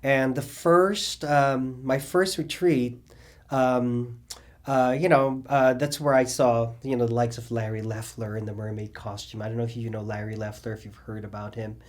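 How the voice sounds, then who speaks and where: A middle-aged man telling his story in an oral history interview, rambling and animated, with many fillers and restarts. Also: mid-range voice, normal speed. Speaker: interviewee, cabaret singer and drag performer.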